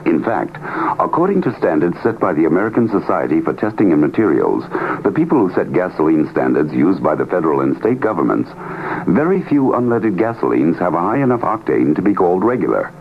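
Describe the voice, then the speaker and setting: A man's voice: deep voice, sing-song, a little fast, slight background noise. A man reading a 1970s radio advertisement in a persuasive, explanatory way. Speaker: commercial announcer. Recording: tinny vintage radio recording.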